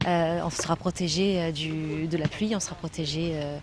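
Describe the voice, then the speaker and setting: A woman's voice: low voice, steady tone, moderate pace, noisy background. A woman from France, a trainer with a building foundation, answering interview questions in an earnest, explanatory way with frequent hesitations.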